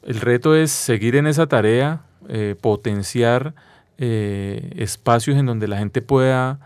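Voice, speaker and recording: Male Colombian expert guest, mid-pitched, didactic and conversational, very dry recording. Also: slow, darkish tone, smooth, no echo. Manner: didactic, often checks with a tag question. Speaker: biologist, interview guest. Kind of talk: conversational explanation in an interview.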